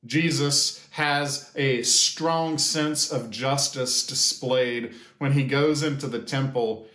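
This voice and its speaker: An American man in his fifties giving a measured, sermon-like pastoral address with deliberate pauses. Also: medium pitch, steady tone, fairly bright tone. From United States. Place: small indoor sitting room or office. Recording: echoey room.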